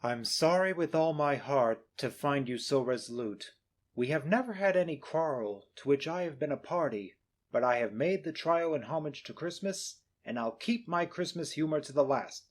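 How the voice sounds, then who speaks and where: A man voicing a cheerful, warm-hearted young nephew who speaks in an earnest, pleading way, in a storybook reading. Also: high-pitched, wildly swooping pitch, unhurried, few pauses, voice pushed up, full character voice.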